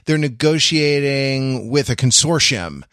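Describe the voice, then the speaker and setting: A man's voice: mid-range voice, lively intonation, unhurried, fairly bright tone, smooth, dry recording. Thoughtful man in a dry, close recording. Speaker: podcast co-host. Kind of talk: casual analytical panel chat.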